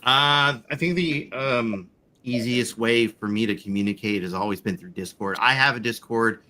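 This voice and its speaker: A man's voice: mid-range voice, normal speed, pushed and bright. A man in his forties chatting casually, rambling with lots of fillers and the odd swear. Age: 40s. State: chronic back pain. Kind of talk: casual chat.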